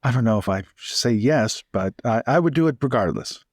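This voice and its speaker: Man as a droll attendant, lively pitch. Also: brisk, no room sound, clean studio sound, close to an everyday voice. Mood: dutiful. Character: loyal, droll paid attendant to a lord. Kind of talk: improvised in-character roleplay.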